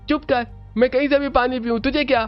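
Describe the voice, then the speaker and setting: A man's voice: very high-pitched, steady tone, a little fast, smooth, dull audio, soft background music, pitched above the performer's own voice. A man voicing a whiny, spoiled young man in a cartoon family story. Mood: whiny, annoyed. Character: whiny, spoiled adult son, male, snapping at his cousins.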